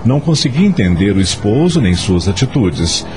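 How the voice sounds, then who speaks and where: A man's voice: fairly low pitch, very animated, moderate pace. A man narrating a radio soap opera in a scripted, dramatic storytelling style. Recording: music under the voice. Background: background music.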